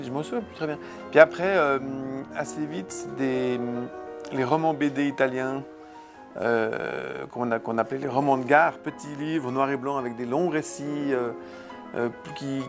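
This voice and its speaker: A comics artist, a man talking casually in an interview, halting with many fillers and restarts. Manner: halting, full of fillers and restarts, low-key. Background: clear background music.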